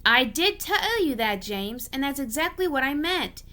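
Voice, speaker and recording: A confident, teasing male tank engine from a children's story, as a woman reader puts him on. The voice is bright and raised, with a coaxing, cheerful slyness. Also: high-pitched, big pitch swings, moderate pace, exaggerated voice. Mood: confident, sly.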